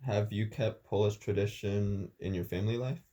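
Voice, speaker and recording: A man's voice: on the low side, fairly even intonation, moderate pace, warm tone. A man interviewing a guest, asking brief, plain questions from some distance from the mic.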